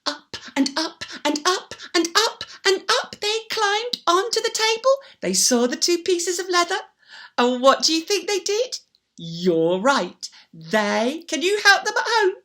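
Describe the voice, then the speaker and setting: High, very animated woman storyteller. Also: unhurried. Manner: theatrical, repeats words playfully, puts questions to the listener. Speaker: children's storyteller. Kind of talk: animated oral storytelling for young children.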